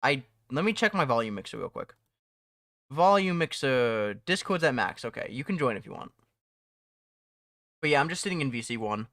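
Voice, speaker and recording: A young man talks loosely on a home live stream, drifting between topics and laughing as he goes. His voice is bright and forward. The mic sounds close and dry, with almost no room sound. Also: mid-range voice, expressive, moderate pace, pauses often, no room sound. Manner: rambling, laughs often, restarts phrases. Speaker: streamer and mod developer. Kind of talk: casual live-stream chat.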